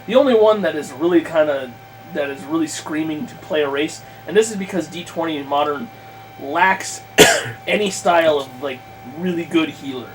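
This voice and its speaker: A man's voice: a little high, normal speed, rough recording. A man in a casual chat about tabletop games, rambling and thinking aloud as he circles back mid-sentence. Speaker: podcast guest planning a tabletop campaign. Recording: clear background noise and hum.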